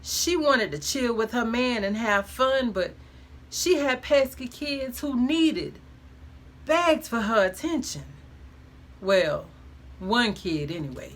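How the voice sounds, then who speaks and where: A woman's voice: a little high, animated, slow pace, a little hiss or hum. A woman reading her own first-person memoir aloud in a frank, conversational way with emphatic colloquial phrasing.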